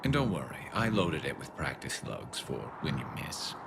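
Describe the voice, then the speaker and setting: A man voicing a cocky, wry alien soldier in a video game, gravelly, with dry teasing banter. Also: very deep voice, big pitch swings, normal speed, heavy background noise, heavily acted. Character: cocky, wry male Turian alien soldier and loyal friend.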